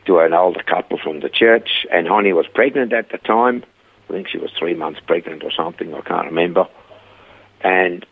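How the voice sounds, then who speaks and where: A man's voice: sits low, gravelly, husky. An older man from Switzerland with a Swiss accent, telling a story as a radio interview guest and acting out the quoted voices. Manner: lively storyteller who acts out the quoted voices. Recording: narrow phone-like radio sound.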